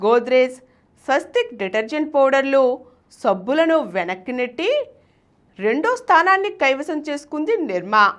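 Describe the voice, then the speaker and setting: High-pitched, very expressive woman narrator. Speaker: host and reader of a business success story show. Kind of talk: scripted storytelling narration.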